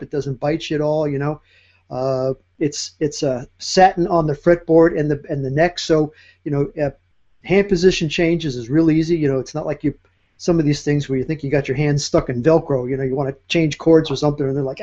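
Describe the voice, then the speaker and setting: A man's voice: fairly high pitch, darkish tone, lo-fi sound. A man in casual chat about guitars, rambling with many fillers and restarts.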